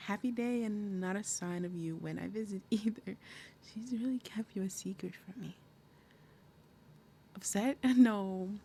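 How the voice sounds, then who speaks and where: A woman voicing a big, possessive demon mother in an ASMR roleplay, falsely sweet and threatening, speaking deliberately and dropping into breathy murmurs. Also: medium pitch, dark tone, slight background noise, lightly acted. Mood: falsely sweet, probing, then tense, interrogating. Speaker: roleplay audio performer. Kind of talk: ASMR roleplay, acted monologue.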